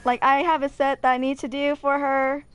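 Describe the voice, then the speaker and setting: Higher-pitched young woman, casual gamer commentary. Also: little pitch movement, normal speed, a bit bright. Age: 20s. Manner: stumbles over words when reading aloud. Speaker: video game streamer. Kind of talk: casual gaming commentary, some reading aloud.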